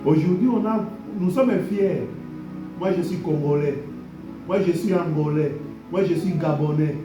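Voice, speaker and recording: Slow preaching man in an echoey hall. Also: fairly high pitch, recorded from a distance, over music. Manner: preaching with call-and-response amens; repeats key phrases and blessings. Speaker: pastor. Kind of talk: sermon. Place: church hall.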